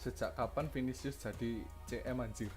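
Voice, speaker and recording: A man chatting casually with his stream viewers, relaxed and self-deprecating. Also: mid-range voice, a little fast, soft background music. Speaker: streamer co-watching a broadcast.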